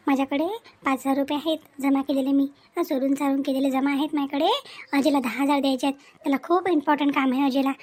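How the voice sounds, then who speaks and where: A woman's voice: high voice, a little mellow, natural voice. A woman voicing a scheming young college-student daughter in a family cartoon, wheedling, insistent and touchy. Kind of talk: cartoon family comedy acting.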